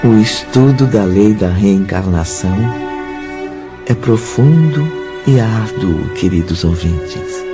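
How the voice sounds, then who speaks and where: A solemn Brazilian radio narrator. He speaks slowly, with sweeping intonation and a reverent, teaching tone. Violin music runs clearly behind him. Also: fairly low pitch, expressive, lo-fi sound. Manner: solemn, didactic.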